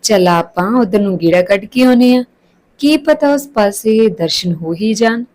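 A woman's voice: mid-range voice, expressive, normal speed, darkish tone, close to an everyday voice. A woman storyteller voicing a teasing, bold young female college student in colloquial, feisty speech.

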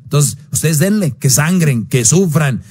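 A man's voice: expressive, measured pace, darkish tone, slightly rough. A man hosting a call-in advice show on the radio, blunt and preachy, pushing his voice up to stress a point. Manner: blunt, preachy, swings pitch up for emphasis.

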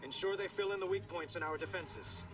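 A man's voice: high-pitched, a little fast, far from the mic, lightly acted, low-quality recording. An actor playing a stern young male emperor giving commands in a video game. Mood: stern, commanding. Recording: loud game music and effects behind.

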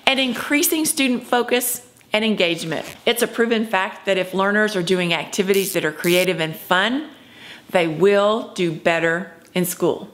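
A woman's voice: mid-range voice, normal speed, fairly bright tone. A woman in her fifties presenting a scripted instructional video as a drama teacher, speaking emphatically to camera. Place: indoor room at home.

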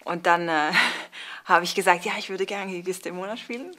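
A woman's voice: lowish voice, moderate pace, fairly bright tone. A young woman, an actor being interviewed, who recounts events in a chatty way. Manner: chatty storytelling with laughs. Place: indoor theatre space with brick wall.

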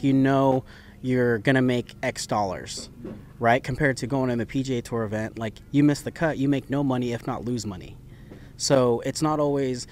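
A young adult man born in the Philippines, a professional golf caddie answering interview questions in a relaxed, rambling way. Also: mid-range voice, a little hiss or hum. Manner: relaxed, talks in loose run-on phrases with fillers. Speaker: PGA Tour caddie, guest.

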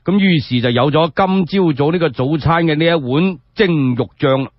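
Hk guy telling an old story radio style, raspy, chatty and expressive, really dry muffled audio. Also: fairly high pitch, normal speed, dry recording. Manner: colloquial, expressive, with frequent spoken particles. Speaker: solo storyteller. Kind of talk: scripted historical storytelling, radio-drama narration.

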